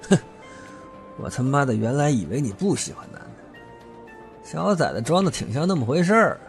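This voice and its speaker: Man acting a sneering, lively-pitched vengeful thug. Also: normal speed, gravelly. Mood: sneering threat. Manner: sneering, crude swearing, taunting. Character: menacing, vulgar, disfigured older brother with a grudge. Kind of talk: Chinese audio drama acting. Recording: music behind the voice.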